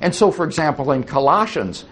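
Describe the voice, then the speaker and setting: A man's voice: a little high, animated, a little fast, fairly bright tone. A man answering audience questions after a talk, thoughtful and explanatory. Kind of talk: lecture Q&A answers.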